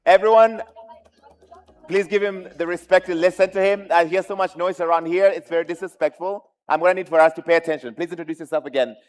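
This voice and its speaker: A man moderating a live forum session. He directs the crowd in a brisk, upbeat way. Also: high-pitched, steady tone. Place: large conference hall. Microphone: handheld microphone.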